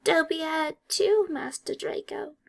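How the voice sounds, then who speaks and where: A woman voicing a frightened, servile male house elf in an audiobook, with a quavering, halting delivery. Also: very high-pitched, voice pushed up. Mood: fearful. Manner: slow, halting, fearful delivery.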